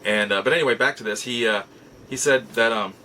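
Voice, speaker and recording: A man telling a personal story in a casual, halting way, as host of a nostalgia show. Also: medium pitch, pushed and bright, a little hiss or hum. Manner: halting, repeats and restarts words as he goes.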